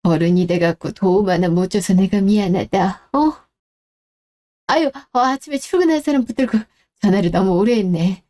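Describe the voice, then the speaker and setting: Lady doing an old mom voice for a radio story, low and mellow, soft and sorry-sounding. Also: normal speed, lightly acted. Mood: consoling. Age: older. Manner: soft, apologetic and consoling. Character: gentle self-effacing elderly mother. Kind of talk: radio drama reading.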